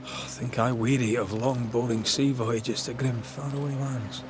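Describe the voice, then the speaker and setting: An actor playing a grumbling, loyal young Scottish ghost hunter in a video game, with a dry, sardonic manner. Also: male voice, mid-range voice, level tone, a little slow, music in the background, close to an everyday voice. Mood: wry, grumbling. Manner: dry, sardonic, colourful complaining. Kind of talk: video game dramatic dialogue.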